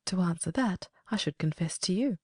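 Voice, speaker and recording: A woman's voice: lowish voice, lively intonation, moderate pace, a little mellow, breathy edge, no room sound, studio quality. A woman playing a guarded, sorrowful young noblewoman in a Shakespearean dramatic reading, terse and evasive. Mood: guarded, subdued.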